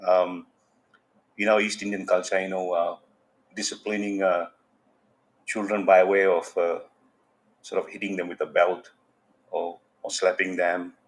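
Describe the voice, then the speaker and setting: A man's voice: low voice, level tone, a little slow, pauses often, low-quality recording. A man from Fiji, a podcast guest, talking casually and rambling with lots of "you know" fillers. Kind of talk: casual interview talk.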